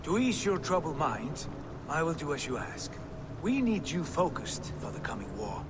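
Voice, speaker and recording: An actor playing a noble, steadfast Norse war god in a video game, earnest, solemn and formal in speech. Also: male voice, on the high side, very animated, moderate pace, noisy background. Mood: grave, cautioning. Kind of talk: dramatic video game acting. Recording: loud music under the voice.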